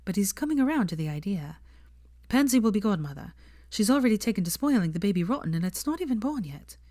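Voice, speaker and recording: A woman's voice: medium pitch, big pitch swings, fast talker, warm tone, voice pushed up. A woman voicing a prim, articulate young woman. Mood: chatty. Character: young adult pregnant woman, earnest and prim.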